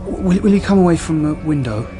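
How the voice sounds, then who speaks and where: An actor playing a terse, reserved senior police detective in a naturalistic radio drama, answering in short, understated phrases. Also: male voice, on the high side, expressive, fast talker, music in the background, natural voice. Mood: uneasy. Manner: understated, short clipped replies.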